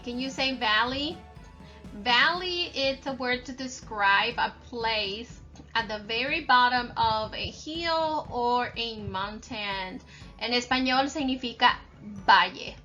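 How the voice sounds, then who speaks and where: A woman's voice: a little high, pushed and bright, highs cut off. A woman teacher reading aloud and instructing young children, addressing them directly and stressing key words. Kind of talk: slow instructional read-aloud with call-and-response prompts.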